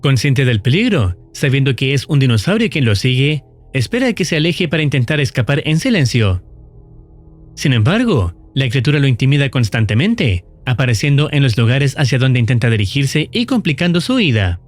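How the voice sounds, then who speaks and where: A man giving scripted, explanatory narration in a measured, formal storytelling manner. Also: medium pitch, very animated, soft background music. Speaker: narrator of a horror series recap.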